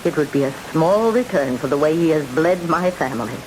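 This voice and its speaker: An embittered old matriarch speaks with cold, deliberate authority and a vengeful temper. It is old-time radio drama acting, heard through a hissy broadcast recording. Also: female voice, sits low, lively intonation. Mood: cold, bitter. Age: older.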